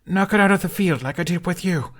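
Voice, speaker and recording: A determined young dragon rider, acted by a man, pitched up for an audiobook. The boy sounds excitable and urgent. The lines come out as breathless exclamations. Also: fast. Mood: urgent, excited. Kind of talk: audiobook character voice.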